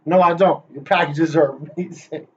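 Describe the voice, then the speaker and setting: A man with a high voice runs through a presentation in a joking, hyped-up way, teasing the people he names. The recording sounds dull and lo-fi. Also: steady tone, normal speed, a little mellow, a little hiss or hum. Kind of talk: rehearsing a playful conference talk.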